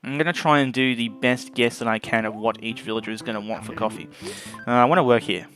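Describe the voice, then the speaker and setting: A man's voice: medium pitch, fairly even intonation, fast talker, fairly bright tone. A man giving casual let's play commentary on a video game as its host. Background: game music.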